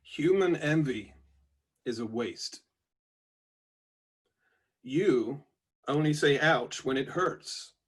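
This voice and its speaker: A man voicing a reflective, wryly stoic robot labourer from a book, slowly and deliberately, with an American-style twang. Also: fairly high pitch, animated, breathy edge, heavily acted. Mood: dry, wry. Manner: slow, deliberate, pausing delivery. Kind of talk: book reading of aphoristic notebook entries.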